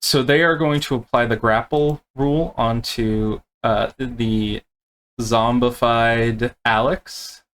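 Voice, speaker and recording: A man acting as game master, explaining rules and narrating tabletop play in a halting, unscripted way. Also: medium pitch, fairly even intonation, fairly bright tone, smooth.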